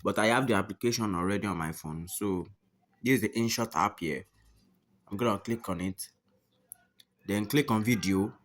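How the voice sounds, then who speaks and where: A man's voice: fairly low pitch, moderate pace, long pauses. A man narrating a step-by-step app tutorial, often repeating his phrases and instructions. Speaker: tutorial host.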